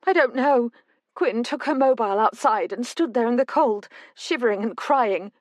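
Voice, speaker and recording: A woman voicing an older mother who is frightened and tearful, talking in a tremulous, rushed outpouring. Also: on the high side, no echo, clean studio sound, pitched above the performer's own voice, close to an everyday voice. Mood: distraught.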